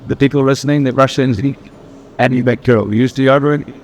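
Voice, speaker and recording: A man's voice: mid-range voice, steady tone, quick pace, a little hiss or hum. A man from New Zealand with a New Zealand accent presents an exhibition as its curator, in an emphatic style that builds points through repetition. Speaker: exhibition curator, architecture professor. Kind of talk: guided exhibition walkthrough. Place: exhibition gallery, indoors.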